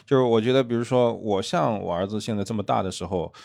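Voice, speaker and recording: A man in his thirties or forties, a former editor, on a casual podcast. His voice sits on the low side. He explains things patiently, stacking example after example and checking in with "right?" as he goes. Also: creaky, a little mellow. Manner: measured, explanatory, lists examples with "对吧".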